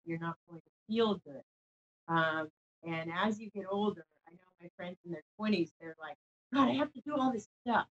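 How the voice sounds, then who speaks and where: A woman giving casual, emphatic advice to viewers in an informal, animated way. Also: on the low side, moderate pace. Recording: slightly dull, muffled audio.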